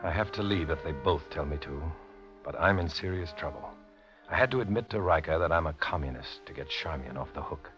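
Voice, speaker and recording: An actor playing a tough, wry undercover agent in a 1950s radio noir drama, with clipped delivery. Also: male voice, sits low, bright, forceful tone, muffled recording. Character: hard-boiled male undercover agent posing as communist. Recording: band-limited old radio recording with music.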